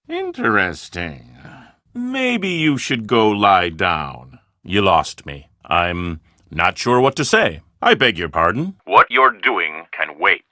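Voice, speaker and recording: A man's voice: on the low side, sharp tone, no room sound, clean studio sound, exaggerated voice. A man playing a scheming, self-important film producer in a video game, speaking in a formal, emphatic, theatrical way. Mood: puzzled, curt.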